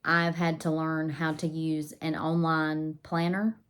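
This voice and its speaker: A woman's voice: sits low, monotone, takes it slowly, smooth. A woman vlogging about homeschooling, her voice a little scratchy and nasal from pollen, chatty with many ums and restarts. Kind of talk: casual to-camera talk.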